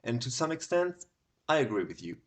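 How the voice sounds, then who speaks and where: A man from France with a French accent, giving a measured, explanatory recorded conference talk as a speaker. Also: medium pitch, big pitch swings. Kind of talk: prerecorded tech talk with screen tour.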